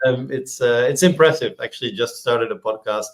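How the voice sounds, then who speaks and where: A man, a podcast guest talking in a relaxed, rambling way as he searches for words. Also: mid-range voice, moderate pace. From Belgium. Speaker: podcast guest, startup executive. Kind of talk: casual interview chat.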